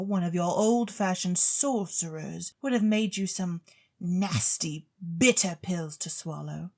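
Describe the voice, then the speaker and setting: A woman voicing a pompous, self-satisfied ancient male chemist who dabbles in magic, with deliberate, drawn-out storybook delivery. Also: medium pitch.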